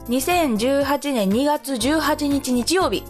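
A woman's voice: sits high, takes it slowly, soft background music. A woman co-hosting a radio show, chatting casually and sharing announcements.

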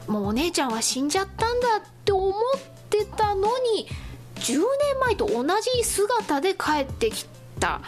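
High-pitched woman hosting a chatty radio show. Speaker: solo radio show host and voice actor. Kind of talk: casual solo radio-style chat with listener announcements. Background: music.

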